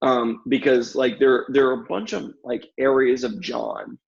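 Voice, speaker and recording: A man talks as a guest in a relaxed intellectual discussion. His voice has a gravelly fry to it. He is agreeable and emphatic, and the audio is somewhat dull and lo-fi. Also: medium pitch, unhurried. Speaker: podcast guest. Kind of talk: casual theological discussion.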